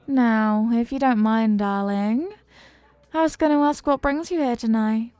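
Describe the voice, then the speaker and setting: A woman's voice: fairly high pitch, a little slow, darkish tone, clear, resonant tone, dry recording, close to an everyday voice. A woman playing a flirty, confident, playful stranger in an intimate roleplay, with a teasing, coy, sweet-talking manner. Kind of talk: intimate roleplay audio acting.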